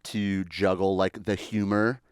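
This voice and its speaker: A man's voice: low voice, flat delivery, measured pace, clean tone, no room sound, studio quality. A man co-hosting a podcast, asking easygoing questions full of fillers. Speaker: podcast co-host. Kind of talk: casual interview questions.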